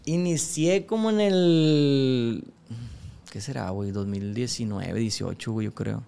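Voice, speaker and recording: A man's voice: mid-range voice, measured pace, gravelly, mellow, smooth. A man, a podcast guest chatting casually in slangy speech. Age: 20s. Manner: slangy, says "güey" constantly, laughs often. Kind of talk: casual chat.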